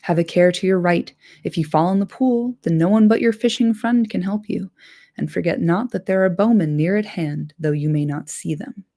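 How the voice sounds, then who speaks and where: A woman voicing a stern, watchful male guard giving hushed warnings. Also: moderate pace, natural voice. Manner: breathed low warnings.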